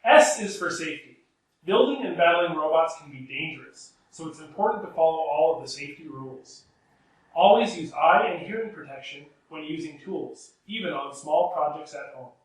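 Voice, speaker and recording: A man's voice: on the high side, expressive, normal speed. A man in his thirties reading a children's book aloud in a workshop. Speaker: robot combat team member. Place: robot workshop, indoors. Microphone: distant camera. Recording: very echoey workshop, distant mic.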